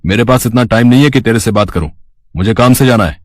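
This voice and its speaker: A man's voice: mid-range voice, fast, dry recording, close to an everyday voice. A man voicing a stern, impatient landlord in a cartoon story, curt and scolding. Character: stern, impatient male landlord demanding rent.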